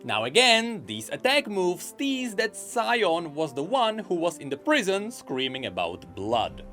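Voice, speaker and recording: A man's voice: high-pitched, huge pitch swings, a little slow, bright, forceful tone, faint music behind. A man commenting on game lore in a chatty, speculative voice-over.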